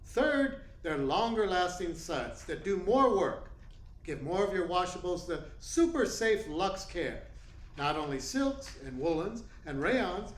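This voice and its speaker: A man playing an eager 1940s radio announcer pitching soap in an old-fashioned advertising style. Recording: echoey room with faint noise.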